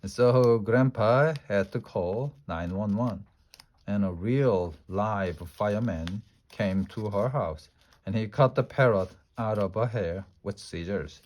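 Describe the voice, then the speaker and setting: A man voicing an excitable, bossy little schoolgirl who talks in a dramatic, breathless rush. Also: on the low side, slow pace. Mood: storytelling. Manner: breathless kid talk, dramatic.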